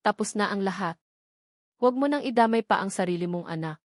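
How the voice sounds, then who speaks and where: A woman's voice: medium pitch, animated, a little fast, no room sound, clean studio sound, natural voice. A woman voicing a determined male lawyer in a dramatic story, firm, confident and confronting. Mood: urgent, controlled.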